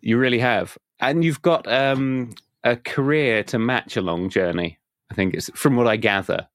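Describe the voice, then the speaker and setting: A man hosting a software podcast, introducing the episode and then interviewing a guest in a casual chat. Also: mid-range voice, moderate pace, no room sound, clean studio sound. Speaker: podcast host.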